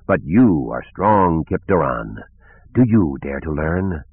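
A man voicing a proud, shadowy dark lord spirit who tempts and menaces in a slow, booming, deliberate way, in an audiobook. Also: very animated, breathy edge, no echo, heavily acted. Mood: seductive, menacing. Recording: narrow phone-like band.

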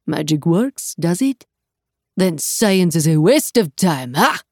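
A woman playing a modest, bewildered American male professor, questioning and halting, in a storybook reading. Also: on the low side, sing-song, husky, dry recording, studio quality, exaggerated voice.

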